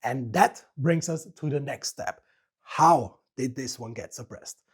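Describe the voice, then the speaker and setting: A man's voice: mid-range voice, unhurried, husky. A man with an Austrian accent hosting a joking product review in a playful, self-mocking manner. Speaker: host of a firearms review channel.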